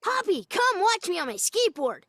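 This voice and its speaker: A woman voicing an excited young child calling out in a cartoon dub. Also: very high voice, normal speed, no echo, pitched above the performer's own voice, heavily acted. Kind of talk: cartoon dubbing voice.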